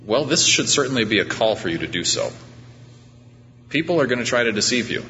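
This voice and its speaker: A man with a deep voice preaching an informal, conversational sermon as a pastor, with a self-deprecating manner. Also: bright, forceful tone. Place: large reverberant church room during a service. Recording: reverberant hall, muffled, background hum.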